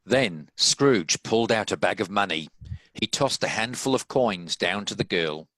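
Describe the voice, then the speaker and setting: A man narrates a scripted story. His voice is deep yet bright and forceful, and it sounds close and dry with very little room around it. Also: lively intonation, a little slow, no echo, low-quality recording. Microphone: home video-call recording.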